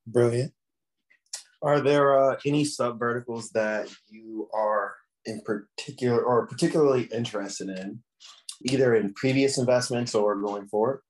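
A man's voice: mid-range voice, fairly even intonation, moderate pace, slight background noise, low-quality recording. A man hosting an interview, casual and complimentary, with slangy asides. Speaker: interview host. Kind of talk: conversational interview.

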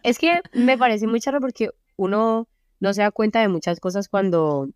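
A young Colombian woman with a Colombian Spanish accent, chatting casually as an interview guest, relaxed and full of fillers. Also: medium pitch, normal speed, clean tone, no room sound, studio quality. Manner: relaxed, many fillers like "o sea" and "como". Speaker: singer-songwriter guest. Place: friends' apartment.

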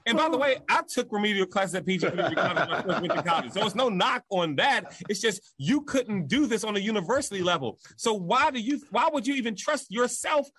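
A man co-hosting a casual sports talk show, animated and laughing as he rants. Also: sits high, quick pace, hardly any pauses, breathy edge, no room sound. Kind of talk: casual podcast banter.

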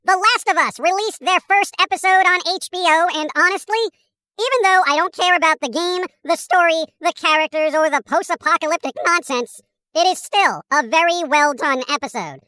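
A cynical cartoon squirrel with an extremely high, piercing voice, recorded very dry. The squirrel cracks wise through comic news with sardonic disdain for humans. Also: normal speed, sharp tone, no echo. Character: wisecracking cynical cartoon squirrel and gang of sidekicks. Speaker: podcast host character. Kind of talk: scripted comic news chat.

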